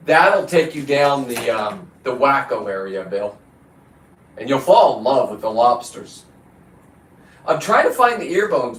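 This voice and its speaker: A man hosting a casual livestream, talking in a halting, digressive way as he chats to someone off-screen and to his viewers. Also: on the high side, a bit bright. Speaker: live stream host. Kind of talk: casual, rambling hands-on livestream chat. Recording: echoey room, distant from the mic.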